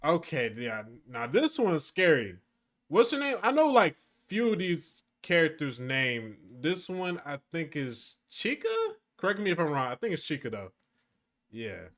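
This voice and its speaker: A man's voice: on the high side, big pitch swings, measured pace, a bit bright. A man giving casual, slangy, profane reaction commentary as a streamer.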